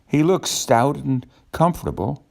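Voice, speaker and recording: An older man with a gravelly voice reads a children's storybook aloud in an expressive, unhurried way. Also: mid-range voice. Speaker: storybook narrator.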